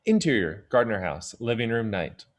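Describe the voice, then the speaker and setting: A man's voice: lowish voice, sing-song, normal speed. A man reading scripted stage directions as the narrator of an audio drama.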